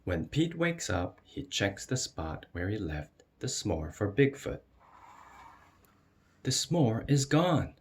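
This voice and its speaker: A man reading a children's picture book aloud as an expressive storyteller. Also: lowish voice, slow pace, a little hiss or hum. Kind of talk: expressive read-aloud narration.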